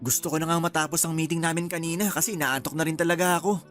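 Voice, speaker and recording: A man's voice: fairly high pitch, fairly even intonation, rapid-fire, a bit bright, natural voice. A man with a Filipino accent acting an affectionate, tired young husband in a Tagalog radio drama. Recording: music behind the voice.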